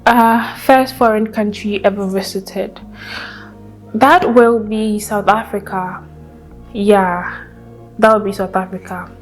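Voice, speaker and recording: A young woman, a native Hausa speaker, chatting casually to camera in a vlog, pausing to hum and think aloud while eating a meal. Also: medium pitch, steady tone, faint music behind, rough recording. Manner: chatty, thinks aloud with hums and trailing asides. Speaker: vlogger. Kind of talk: casual chat.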